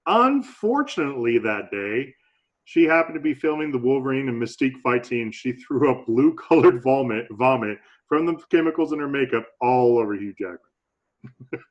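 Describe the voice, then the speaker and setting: A man's voice: medium pitch, lively intonation, normal speed. Laid-back man on a slightly dull recording. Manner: laid-back, hesitant phrasing with restarts. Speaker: podcast co-host. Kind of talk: casual movie-review chat.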